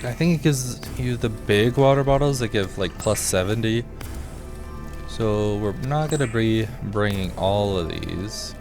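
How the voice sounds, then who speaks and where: A man's voice: on the low side, unhurried. A man with a slightly scratchy voice giving casual gameplay commentary, rambling and muttering to himself as he thinks aloud. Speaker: small gaming streamer. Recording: music behind the voice. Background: game music.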